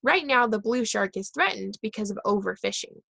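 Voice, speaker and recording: A woman reading a children's book aloud, pausing and correcting herself now and then. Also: medium pitch, expressive, vocal fry, bright, forceful tone, dry recording. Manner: reads aloud with pauses and self-corrections. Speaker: reader.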